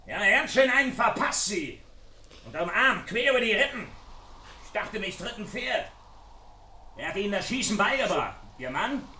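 An actor playing a wounded, menacing intruder who mocks and taunts through a door in a German radio crime play. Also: male voice, high voice, very animated, normal speed, distant mic, slight background noise, full character voice. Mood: mocking menace. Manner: jeering, mock-polite, swinging from muttering to shouting. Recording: echoey radio play, off the mic.

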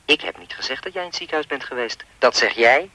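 A creepy male caller in a radio play, with a bright, dry sound and lively, swinging intonation. He is insinuating and persistent, needling his listener and refusing to let go. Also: medium pitch, fast talker, dry recording. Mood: taunting, smug. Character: creepy insistent male anonymous caller toying with woman.

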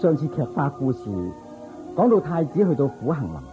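A man's voice: a little high, very animated, moderate pace. A man delivering scripted narration for a radio drama, as its storyteller. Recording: dull lo-fi radio recording. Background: music.

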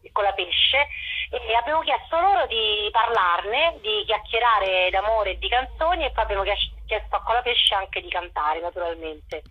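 A woman's voice: medium pitch, breathy edge, dull audio. A woman answering as a guest in a conversational radio interview, explaining things informally. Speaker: interview guest, festival organiser.

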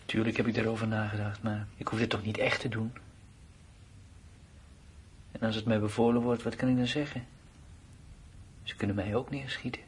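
An actor playing a blunt, uneasy soldier in a Dutch radio drama, with plain, colloquial, matter-of-fact delivery. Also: male voice, lowish voice, little pitch movement, normal speed, long pauses, breathy edge. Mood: blunt, resigned. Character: blunt, uneasy male soldier left behind at his post. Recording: noisy old radio play recording.

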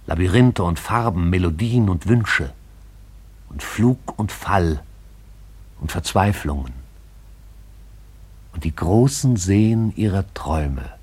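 Radio drama narrator, male: low, husky voice, poetic and incantatory, hushed intensity.

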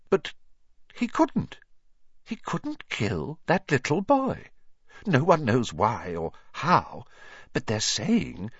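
Guy doing a prim older witch teacher for an audiobook, high pitched, irritable n anxious, really swoopy. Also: husky, no room sound, lo-fi sound, exaggerated voice. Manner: irritable, exasperated, clipped and indignant.

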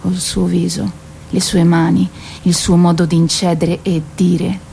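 A woman's voice: lowish voice, flat delivery, unhurried, gravelly, warm tone, slight background noise. An actress playing a proud, weary queen of Greek myth who waits, in a declamatory, ironic radio drama monologue. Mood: confessional. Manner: declamatory, ironic, lingering on repeated words.

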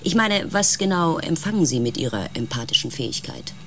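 An actress playing a restrained space expedition coordinator who narrates in a composed, formal way in a radio drama. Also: female voice, sits low, expressive, a little fast, faint music behind. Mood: narrating, troubled. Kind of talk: scripted first-person radio drama narration.

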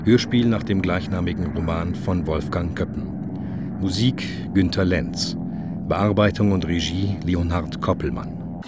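A plain radio play announcer with a low voice. He reads title and credits against loud background noise. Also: unhurried. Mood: measured.

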